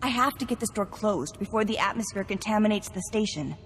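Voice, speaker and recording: A woman's voice: medium pitch, animated, fairly quick, big-room sound, slight background noise, close to an everyday voice. An actress playing an anxious, helpful young female scientist on a space station in a video game. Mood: worried, hopeful.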